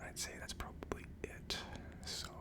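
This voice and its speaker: Whispering ASMR host, barely voiced. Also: normal speed. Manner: hushed, unvoiced whispering throughout. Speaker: host of an ASMR whiskey tasting show. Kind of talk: ASMR, casual tasting review.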